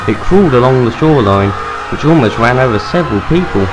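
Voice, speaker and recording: A man's voice: medium pitch, lively intonation, fast talker, noisy background. A man reading scripted story narration as the narrator of an audio drama.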